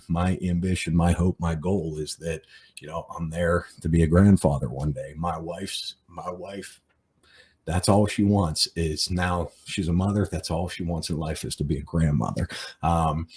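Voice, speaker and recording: Very deep-voiced man in his forties. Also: fairly even intonation, unhurried, a little mellow, breathy edge. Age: 40s. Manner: rambling, many fillers and repeated words. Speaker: podcast host. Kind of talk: casual reflective monologue.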